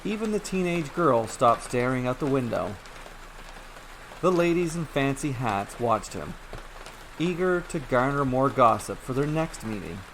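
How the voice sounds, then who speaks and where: A man's voice: mid-range voice, fairly bright tone, a little hiss or hum, rough recording. Man narrating a fairy tale. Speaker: storyteller. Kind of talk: scripted story narration.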